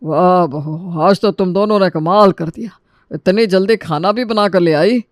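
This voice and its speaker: A man's voice: high voice, a little slow, no echo, clean studio sound. A man voicing a middle-aged father-in-law in a storybook tale, scheming, urging and ingratiating. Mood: pleased.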